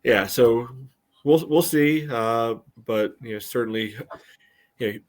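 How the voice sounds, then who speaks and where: A man's voice: mid-range voice, slow, darkish tone. A man co-hosting a sports podcast, giving casual analysis in a hesitant, loosely phrased way full of "you know".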